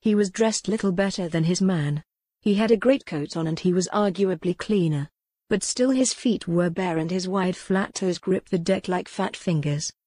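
A woman's voice: lowish voice, moderate pace, warm tone, no room sound. Dry-sounding female audiobook narrator. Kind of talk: scripted narration of a historical romance.

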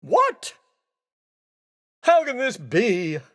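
A man voicing a theatrical, excitable skeleton gentleman in a racing video game, with courteous, exclamatory delivery. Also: very high voice, drawn-out words, exaggerated voice. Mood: startled, emphatic. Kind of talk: video game character voice clips.

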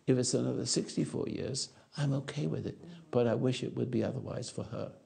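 A man in his eighties giving a rambling philosophical talk to an audience on a lapel mic, halting and repeating his words. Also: mid-range voice, fairly even intonation, creaky, warm tone. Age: 80s. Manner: gestures with hands while talking. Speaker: speaker answering audience. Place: dark stage or studio.

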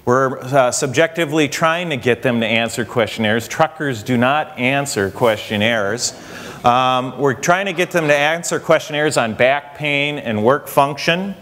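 A man's voice: mid-range voice, unhurried, fairly bright tone. A young man, an engineer, explaining a research study at a conference while walking around a hotel meeting room. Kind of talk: conference presentation. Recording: reverberant conference hall.

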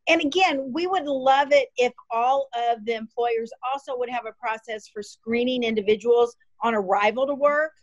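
Woman, health official, fairly high voice, practical, explaining, muffled call audio. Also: normal speed, hardly any pauses. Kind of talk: explanatory answer in a video call. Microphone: video call.

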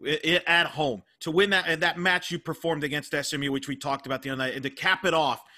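A man puts long, piled-up questions to athletes as a reporter at a press conference. His voice is fairly high for a man, bright and forceful. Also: fairly even intonation, quick pace, soft background music.

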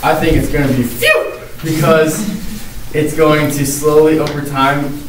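A male student answering a question in class, halting and searching for words. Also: on the high side. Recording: distant and echoey, with noise.

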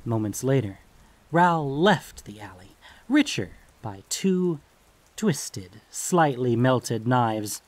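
A man's voice: medium pitch, sing-song, slow, a little mellow, no room sound. A man reading scripted fantasy story narration as the narrator.

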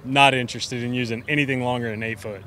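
A man's voice: mid-range voice, fairly even intonation, fast talker, pushed and bright. A man in his thirties answers bluntly and dismissively. He speaks outdoors into a handheld mic. Light outdoor noise is underneath. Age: 30s. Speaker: professional angler. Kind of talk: interview answers. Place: outdoors by boats. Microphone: handheld mic held by interviewer.

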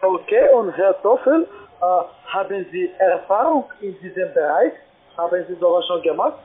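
A male sales caller speaks in a high, husky voice, pitching over the telephone. The sound is narrow and tinny. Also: lively intonation, moderate pace. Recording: tinny, narrow phone line.

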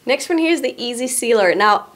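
A young woman hosting a casual product review to camera in a small studio, bubbly and giggly, sometimes easing into a softer, relaxed tone. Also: a little high, brisk, music in the background. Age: young adult. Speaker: host presenting shop items. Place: small indoor studio room. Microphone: boom-arm studio mic in front.

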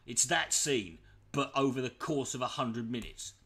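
A man's voice: medium pitch, moderate pace, slightly rough. A man with an Irish accent giving a profane, mocking reaction commentary from home. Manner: mocking, swearing, animated.

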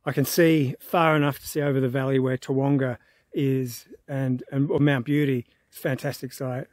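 A man, talking to his camera in a relaxed, awed way as he hikes an open alpine trail, filmed at arm's length. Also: medium pitch, moderate pace, dry recording. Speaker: hiker vlogger. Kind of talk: casual on-the-go vlog narration. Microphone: phone camera held at arm's length.

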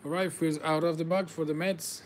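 A man's voice: fairly high pitch, little pitch movement, brisk, slight background noise. A man, whose first language is Spanish, hosts a live trading card break, calling out players and congratulating viewers in slightly non-native phrasing. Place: indoor table with card boxes.

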